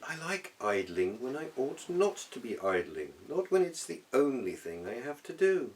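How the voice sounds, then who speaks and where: A man giving an expressive, theatrical and wry reading of a humorous literary essay, with measured dramatic pauses. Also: fairly low pitch, far from the mic. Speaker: audiobook reader.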